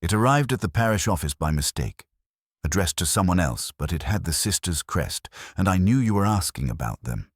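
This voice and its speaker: Man voicing a hesitant, husky vicar's wife. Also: low voice, sing-song, fairly quick, no echo, clean studio sound. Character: hesitant, polite vicar's wife, adult woman. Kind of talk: audio detective story dialogue.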